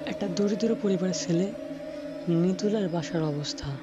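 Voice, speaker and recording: A man's voice: sits high, normal speed. A man narrating a cartoon folk tale in a scripted storytelling style. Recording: dull lo-fi audio under clear music.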